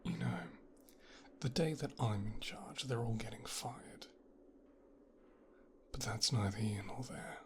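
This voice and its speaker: A whispering, slow-spoken mafia boss's son, acted by a man for an ASMR roleplay. He is coolly possessive and clinical, handling his captive with soft, breathy condescension. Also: lowish voice. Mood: quietly irritated.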